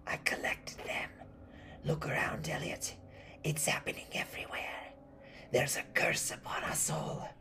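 A man voicing a frail, haunted old village woman in a soft, raspy whisper for an audio horror story. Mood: eerie, foreboding. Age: older. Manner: slow, ominous, breathy.

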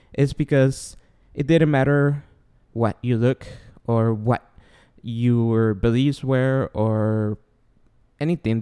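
A man's voice: mid-range voice, dark tone, smooth. A man from Guatemala with a Guatemalan Spanish accent, hosting a casual solo podcast in a rambling, self-deprecating way with many fillers. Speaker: podcast host.

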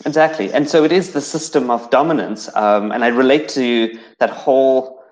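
A lecturer from South Africa with a South African English accent, talking through slides in an academic seminar, hesitant with many fillers and restarts. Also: male voice, medium pitch, moderate pace, a little mellow, rough recording.

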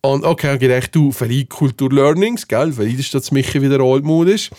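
A man with a Swiss accent telling a casual anecdote in conversation, slangy and animated, acting out what people said. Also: mid-range voice, a little fast, no room sound, clean studio sound. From Switzerland. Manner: animated storytelling with slangy swearing and acted-out quotes. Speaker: podcast host telling a personal story.

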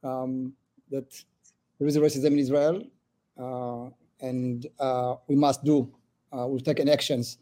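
A man in his forties from Ethiopia, speaking as a guest in a podcast interview, pausing often with "uh" between phrases. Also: medium pitch, level tone, warm tone. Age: 40s. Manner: halting, many "uh" fillers. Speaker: government official, guest.

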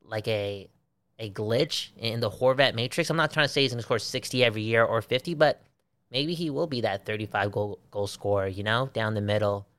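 A man co-hosting a casual sports chat, animated and swearing freely, repeating himself for emphasis. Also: on the low side, fairly quick, no echo. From Belgium. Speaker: sports podcast co-host.

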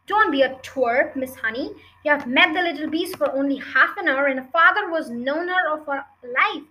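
A domineering headmistress, acted out by a woman reader for a children's storybook. She barks her scorn in a high, forceful voice and brushes aside anyone who argues. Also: moderate pace, a little hiss or hum. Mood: outraged, scornful. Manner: barking, snorting, contemptuous, loud. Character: bullying, scornful adult headmistress, giant and bellowing.

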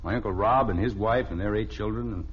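An American actor playing a middle-aged, soft-hearted but grumbling father in an old radio family comedy. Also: lowish voice, fast talker, slightly rough. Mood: wistful, hesitant. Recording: dull, noisy old radio recording.

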